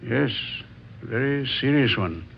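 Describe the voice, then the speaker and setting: A serious, kindly doctor in a vintage radio play. His voice is mid-pitched and deliberate, with pauses. The recording is muffled with faint noise. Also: animated, gravelly. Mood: grave. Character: grave, kindly hospital doctor. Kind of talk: old-time radio drama acting. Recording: dull, noisy old radio recording.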